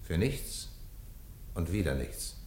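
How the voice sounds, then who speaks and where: A theatrical defence lawyer pleads in a radio play. His lowish voice swings widely and emphatically, ringing in a roomy space. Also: big pitch swings, takes it slowly, darkish tone, heavily acted. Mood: pleading, rhetorical. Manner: rhetorical, emphatic. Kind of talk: German radio play acting.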